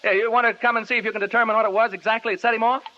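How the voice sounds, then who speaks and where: A man's voice: high-pitched, flat delivery, rapid-fire, pushed and bright. A man playing a nervous, rambling, stammering broadcaster alone in a haunted house, in old time radio horror style. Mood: uneasy. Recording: dull, noisy old radio recording.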